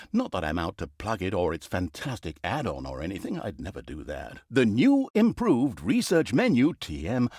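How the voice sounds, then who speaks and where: A male storyteller for a comedic fantasy game, pompous and sardonic. His mid-pitched voice swings dramatically up and down as he moves between mock-epic grandeur and wry, self-aware asides. The sound is very dry, with no room around it. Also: darkish tone, no echo. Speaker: game narrator.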